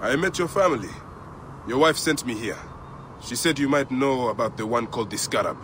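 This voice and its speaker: An actor playing a determined ancient Egyptian avenger in a video game, terse and earnest. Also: male voice, mid-range voice, lively intonation, brisk, background noise, close to an everyday voice. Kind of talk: video game cutscene acting.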